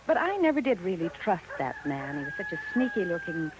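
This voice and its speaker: A woman's voice: medium pitch, huge pitch swings, fairly quick. A woman playing an anxious, distrustful rancher's wife with an American accent, in 1950s radio drama style. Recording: noisy vintage radio recording.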